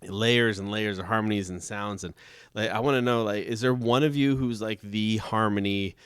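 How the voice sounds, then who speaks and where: A man interviewing a band, casual and halting, often searching for his words. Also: on the low side, steady tone, fairly bright tone, clean tone, no room sound, clean studio sound.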